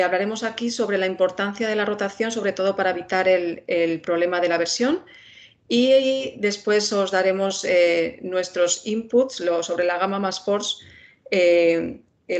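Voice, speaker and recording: A woman with a Spain Spanish accent moderating an online webinar in a friendly manner, with many filler sounds. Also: mid-range voice. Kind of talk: webinar introduction.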